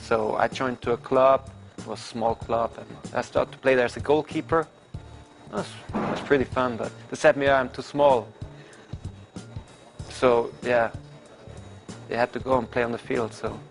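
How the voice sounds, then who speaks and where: A young man in his twenties with a Swiss German accent, answering interview questions about his soccer career in halting English full of "like". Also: mid-range voice, fairly even intonation, gravelly, soft background music. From Switzerland. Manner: halting non-native English with filler "like". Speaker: professional soccer player. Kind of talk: casual interview.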